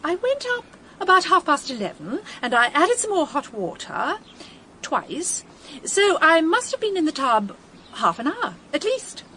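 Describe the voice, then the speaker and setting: A woman's voice: sits high, very animated, unhurried, pushed and bright, slight background noise, exaggerated voice. An actress playing a breezy, chatty married woman in a radio drama, sweet and airy, over faint background ambience.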